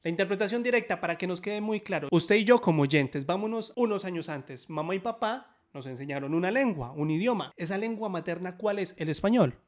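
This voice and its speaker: A man teaching a sign language lesson in Spanish, upbeat and explanatory, often checking in with quick questions. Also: sits high, lively intonation.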